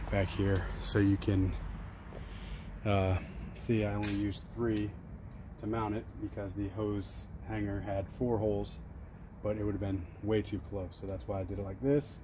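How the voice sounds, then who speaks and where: A fairly low-voiced man in his forties talks through his gear in a loose, rambling way, full of ums and uhs. He is recorded outdoors on a camera mic. Crickets and insects fill the background noise. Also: moderate pace. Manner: relaxed, rambling, many fillers. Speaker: detailing business owner showing gear. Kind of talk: casual vlog walkthrough. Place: outdoors by a fenced wall at night, later daytime.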